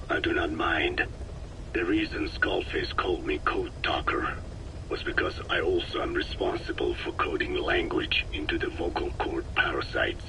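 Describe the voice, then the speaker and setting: An old, solemn Navajo researcher; extremely deep, slow delivery; hissy cassette sound. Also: male voice. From Navajo Nation, American Southwest. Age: older. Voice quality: low, slow, measured and solemn, often breathy. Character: very old male Navajo scientist, grave. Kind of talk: game cassette tape dialogue. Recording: noisy tape hiss.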